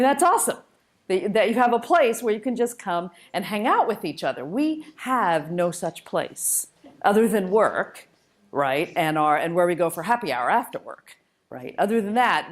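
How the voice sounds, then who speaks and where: A woman giving an informal public lecture, conversational, enthusiastic and emphatic as she shares personal stories as a guest speaker. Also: mid-range voice. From United States and Malaysia, born in India. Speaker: guest speaker, spacecraft engineer.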